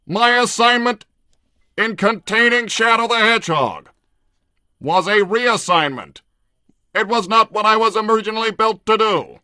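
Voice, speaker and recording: A man playing a literal-minded combat robot who speaks in formal, deadpan, stilted sentences in a livestream roleplay. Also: sits high, unhurried, clear, resonant tone, no echo, clean studio sound, heavily acted. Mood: matter-of-fact. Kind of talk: in-character livestream roleplay, reading viewer messages.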